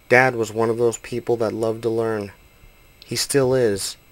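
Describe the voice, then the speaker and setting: A man's voice: lowish voice, low-quality recording. A man reading scripted narration as a voice-over narrator and reporter.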